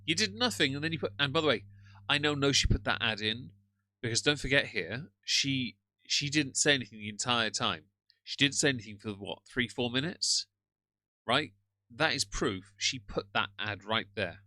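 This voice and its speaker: A man's voice: mid-range voice, animated, moderate pace, bright, forceful tone, no echo, low-quality recording. A man with a British accent hosting a casual live stream, ranting sarcastically and greeting chat viewers. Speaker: livestream host reacting to another streamer.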